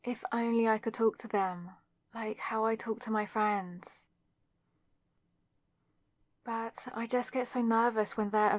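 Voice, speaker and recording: A woman's voice: mid-range voice, no room sound, studio quality, close to an everyday voice. A young woman playing a nervous, lovestruck student witch who chats earnestly to herself in an ASMR audio roleplay. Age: young adult. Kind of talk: ASMR audio roleplay, scripted solo monologue.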